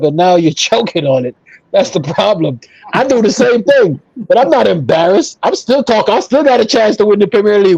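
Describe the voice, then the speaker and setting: Man, football fan panelist, mellow tone, dry muffled audio, emphatic casual talk. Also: high-pitched, no room sound. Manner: emphatic, repetitive, conversational.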